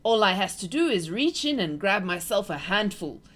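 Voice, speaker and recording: A woman voicing brutish, gloating male giants who shout as they gallop past. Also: medium pitch, sing-song, moderate pace, pitched above the performer's own voice.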